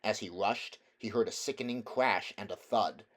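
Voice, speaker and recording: A man's voice: lowish voice, normal speed, a bit bright. A man reading a children's fantasy novel aloud as scripted storybook narration. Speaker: amateur audiobook reader.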